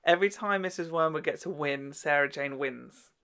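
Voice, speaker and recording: A woman hosting a video, giving casual, gushing and expressive commentary in a campy way. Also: low-pitched, normal speed. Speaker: YouTube host reviewing a children's TV show.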